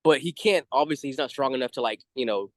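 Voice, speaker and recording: A man co-hosting a casual fan review chat, explaining things in a halting, rambling way. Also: medium pitch, dry recording, studio quality. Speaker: co-host of a review show.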